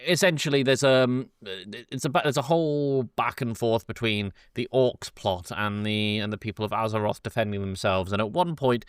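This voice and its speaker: A man's voice: lowish voice, moderate pace, few pauses, smooth, dry recording, clean studio sound. A man hosting in a casual, chatty way, explaining things loosely and often stopping to rephrase himself. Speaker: podcast host. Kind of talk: casual chat with loose, informal summarising.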